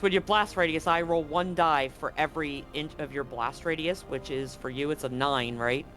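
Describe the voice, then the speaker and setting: A man narrating as game master in a tabletop game, relaxed and full of fillers. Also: a little high, moderate pace, soft background music.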